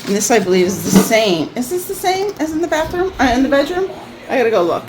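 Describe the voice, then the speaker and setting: A woman's voice: high voice, sing-song, quick pace, noisy background. Chatty New England woman. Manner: chatty, laughs easily. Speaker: co-host renovating a house. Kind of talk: casual chat while working. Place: old house under renovation, indoors.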